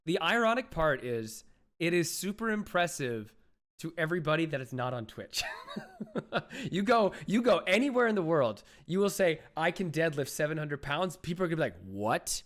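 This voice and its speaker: A young adult man hosting a podcast, chatting loosely, with lots of restarts and fillers and his voice often trailing off quietly. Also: fairly high pitch, sing-song, moderate pace. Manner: rambling, many restarts and "like", drops to quiet mumbles. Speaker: podcast host. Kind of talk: casual interview chat.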